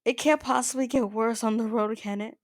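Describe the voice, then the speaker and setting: A woman playing an older mafia boss in an ASMR roleplay, soft-spoken, possessive and quietly menacing, with a halting, intimate delivery. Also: on the high side, fairly even intonation, dry recording, lightly acted. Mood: tentative, hopeful. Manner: halting, stammering, intimate address to the listener.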